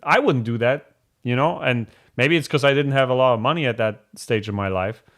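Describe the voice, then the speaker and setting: Male host from Germany, medium pitch, casual live Q&A; frank, blunt, rambling delivery. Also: a little fast, a bit bright. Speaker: host answering viewer questions.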